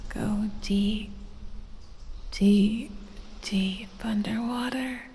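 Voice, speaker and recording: A woman voicing a sultry, predatory lamia who teases and coaxes with quiet menace in a breathy, whispery ASMR roleplay, drawing out her words seductively. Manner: slow, drawn-out, seductive teasing with low chuckles. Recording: long cavernous reverb.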